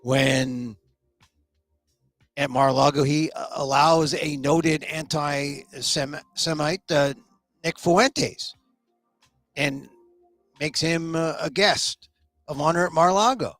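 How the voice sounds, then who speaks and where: A man's voice: a little high, fairly even intonation, slow pace, long pauses, a bit bright, no echo, soft background music. Opinionated sniffly man host, dry sound. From United States. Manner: opinionated, repeats words when starting sentences. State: a little sniffly. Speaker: talk show host. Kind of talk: solo political commentary.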